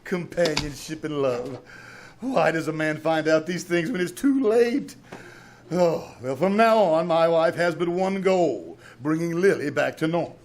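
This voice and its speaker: A man's voice: on the high side, unhurried, slight background noise. A man acting a pompous, fretful husband in a live old-time radio comedy, theatrical and prone to flustered stammering. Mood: mournful, dramatic. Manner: melodramatic, blustering, stammering when flustered. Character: pompous theatrical husband, remorseful and fretful over his wife. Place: small community theater stage. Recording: live stage with audience sounds.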